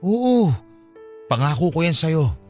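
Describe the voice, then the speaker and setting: A man's voice: fairly high pitch, very animated, slow pace, a little mellow, soft background music, exaggerated voice. A man playing a troubled, earnest young man who pleads with his former girlfriend in an emotional radio romance drama. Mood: tender, resolved.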